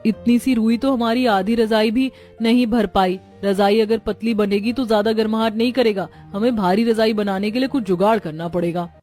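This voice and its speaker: A woman's voice: a little high, steady tone, normal speed, hardly any pauses, warm tone, soft background music, lightly acted. A woman playing a poor, dutiful, resourceful young daughter-in-law in a cartoon. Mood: practical.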